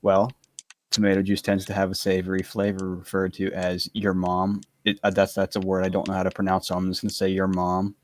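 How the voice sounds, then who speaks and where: A man's voice: low voice, monotone, fairly quick. A man reading an explainer script aloud to friends in an online voice chat, tripping over words and breaking off to joke.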